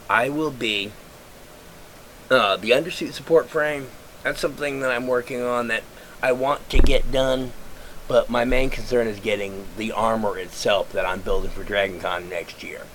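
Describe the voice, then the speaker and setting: A man talks into a webcam at home, his voice a little low and raspy, as if congested. He rambles casually, restarting sentences and filling gaps with "uh" while he thinks aloud. A faint hum of room ambience sits behind him. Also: fairly bright tone, poor audio. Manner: rambling, lots of fillers and restarts. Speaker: vlogger.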